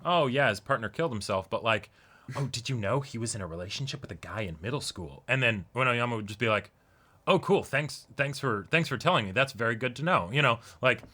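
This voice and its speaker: Bright-voiced man in casual discussion. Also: mid-range voice, animated, a little fast. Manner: informal, swears mildly, rephrases as he goes. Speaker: co-host of an anime reaction show. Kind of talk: casual discussion of an anime episode.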